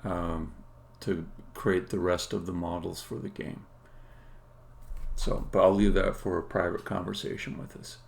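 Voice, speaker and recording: A man, a game developer, casually explaining his work on a live stream in a halting, think-aloud way. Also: deep voice, level tone. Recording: clear background noise.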